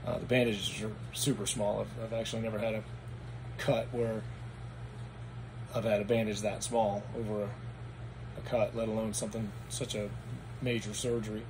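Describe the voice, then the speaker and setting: Man, raspy, hoarse, gravelly, meandering casual vlog talk, background noise. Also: on the low side, level tone, moderate pace, pauses often. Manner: meandering, matter-of-fact recounting. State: hoarse after anesthesia. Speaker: vlogger. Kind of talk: casual personal vlog recap.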